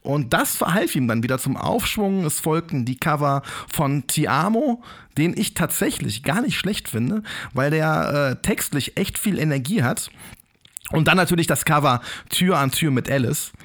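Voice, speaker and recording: A man with a fairly high voice co-hosts a casual podcast. His intonation rises and falls a lot as he reads out trivia, teasing his partner along the way. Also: sing-song, moderate pace, a bit bright. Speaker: podcast co-host. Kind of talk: casual chat.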